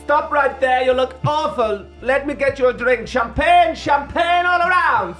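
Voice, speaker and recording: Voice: sits high, unhurried, fairly bright tone, soft background music. A performer voicing a posh, boozy party woman who gushes and calls everyone darling. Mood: gushing. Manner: plummy, gushing, says darling.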